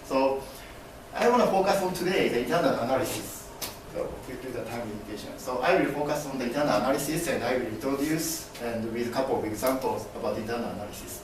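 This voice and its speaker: A young man from Japan with a Japanese accent gives a class presentation as a business student, halting and filling pauses as he explains. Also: mid-range voice, noisy background. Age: young adult. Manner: halting, many fillers and restarts, gestures broadly. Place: classroom with projector screen. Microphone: far camera. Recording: echoey classroom from far off.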